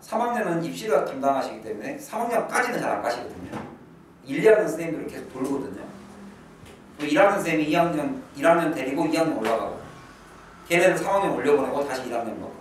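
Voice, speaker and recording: Animated guy teaching english to high schoolers, voice bounces way up and down, echoey classroom, kinda far from the mic. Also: quick pace, a little hiss or hum. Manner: lively, emphatic, drops to a low murmur for asides. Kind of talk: exam-prep lecture to high school students. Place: academy classroom.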